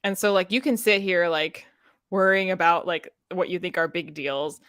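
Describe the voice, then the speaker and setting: A woman's voice: fairly low pitch, moderate pace, fairly bright tone, no echo, clean studio sound. A woman hosting a casual book discussion, opinionated and sweary, peppering her talk with "like" and acting out imagined reactions. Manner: frequent "like", sweary, acts out imagined reactions in quotes. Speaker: host of a book readalong discussion. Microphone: close microphone on a live video chat.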